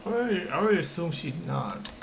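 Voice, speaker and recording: A woman's voice: on the low side, sing-song, quick pace, vocal fry, background noise. A woman streaming a game, giving casual reactions and short comments over the gameplay. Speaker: gaming streamer.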